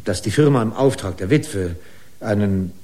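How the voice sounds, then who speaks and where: An actor playing a formal company lawyer who picks his words awkwardly and haltingly in a German radio play. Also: lowish voice, animated, mellow, close to an everyday voice. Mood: hesitant.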